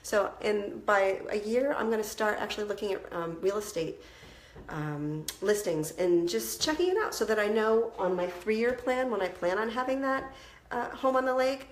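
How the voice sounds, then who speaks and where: A woman's voice: mid-range voice, expressive, normal speed, echoey room. A woman business coach, congested from a cold, giving a conversational, encouraging talk on planning goals. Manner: conversational, encouraging, with frequent restarts. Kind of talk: instructional talk to camera.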